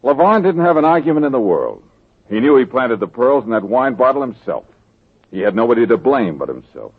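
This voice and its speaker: A wry radio-drama detective. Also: male voice, medium pitch, very animated, brisk, slightly rough. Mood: explaining. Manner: dry, teasing. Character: wry confident male private detective. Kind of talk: 1940s radio drama acting. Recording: tinny old radio broadcast.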